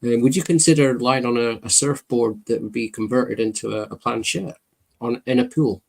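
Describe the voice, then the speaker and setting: A man's voice: medium pitch, normal speed, creaky. A man, chatting earnestly and self-deprecatingly as a podcast guest. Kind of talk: casual chat.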